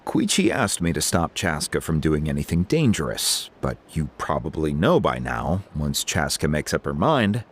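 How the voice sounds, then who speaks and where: An actor playing a laid-back older man in a video game, a wise, caring family friend telling things in a measured, conversational way. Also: extremely low pitch, sing-song, a little hiss or hum. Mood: resigned, sincere.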